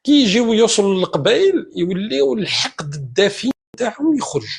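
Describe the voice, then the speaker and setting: A high-voiced man speaking Algerian Arabic, rushing through a heated live-stream debate. His voice is husky and a little rough, and he scolds and argues with callers. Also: sing-song. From eastern Algeria. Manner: combative, scolding.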